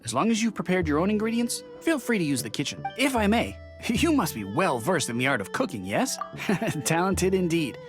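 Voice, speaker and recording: A woman voicing a mature, gracious innkeeper who talks kindly and laughs softly. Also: on the low side, sing-song, normal speed, over music.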